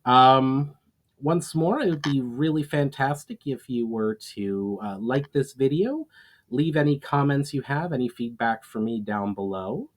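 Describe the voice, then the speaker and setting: A man's voice: mid-range voice, animated, unhurried. A man speaking as an author and host of his own storytime readings. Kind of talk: dramatic audiobook-style reading, casual sign-off.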